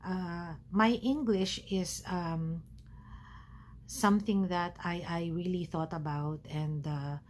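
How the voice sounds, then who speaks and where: A woman from the Philippines with a Filipino accent, talking casually to camera at home while recovering from asthma, pausing often with fillers. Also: lowish voice, fairly even intonation, slight background noise. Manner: hesitant, many fillers. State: recovering from asthma, feeling cold. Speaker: vlogger. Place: at home, wrapped in a blanket.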